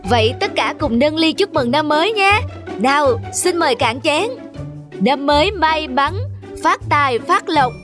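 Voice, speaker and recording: A man's voice: very high-pitched, animated, measured pace, over music. A man voicing a jovial village feast host in a hearty, declamatory cartoon style.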